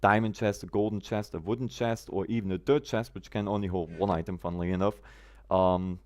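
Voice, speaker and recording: A man's voice: low voice, fairly even intonation, a little fast. A man from Germany, chatting casually on a gameplay live stream, greeting viewers by name in quirky, roundabout phrasing.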